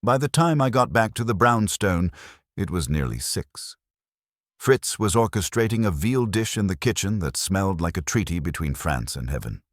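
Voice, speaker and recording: A very deep-voiced man narrates a detective story with wry, dry humour. His pitch moves in lively swings, and the recording is dry and close. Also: moderate pace, no room sound. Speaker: audiobook narrator.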